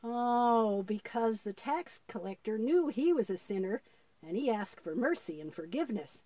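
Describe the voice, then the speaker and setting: A woman plays an earnest little boy in a children's puppet show. The voice is high and very animated, with an artless, thinking-aloud delivery. It is recorded dry, with little room sound. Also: moderate pace, no room sound. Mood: earnest realization. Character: eager, earnest young boy puppet, good-hearted.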